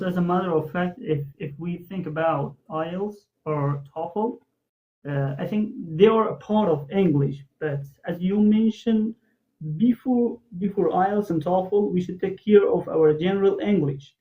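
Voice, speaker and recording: Farsi-native host asking long rambly questions in english, higher voice, muffled audio. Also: male voice, a little slow. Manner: long, searching questions with fillers. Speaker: interview host.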